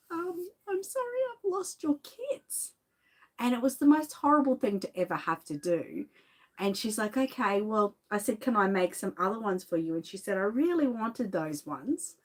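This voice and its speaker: An Australian woman with a lingering cough chatting casually on a livestream, greeting viewers by name from her home studio. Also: mid-range voice. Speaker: reborn doll artist and shop owner.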